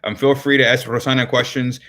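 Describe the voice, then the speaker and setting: A man's voice: mid-range voice, level tone, fairly quick, a bit bright. A man hosting a live-streamed interview, talking in a friendly way with many ums and repeated words. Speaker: interview host.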